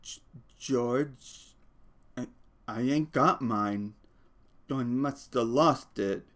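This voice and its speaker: A man voicing a big, gentle, simple-minded man from a storybook, stammering and halting. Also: mid-range voice, animated, voice pushed up. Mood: eager, childlike. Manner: slow, pleading, halting. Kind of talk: audiobook character voice.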